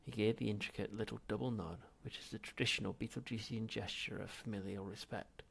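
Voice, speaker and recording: Gravelly, husky male story narrator. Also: lowish voice, fairly even intonation, a little fast, fairly bright tone. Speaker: audiobook reader.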